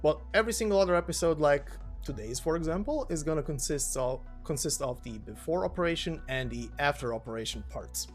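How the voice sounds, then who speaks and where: A man hosting a story narration channel, giving an informal, slightly halting spoken introduction. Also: a little high, lively intonation, faint music behind. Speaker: narrator and host.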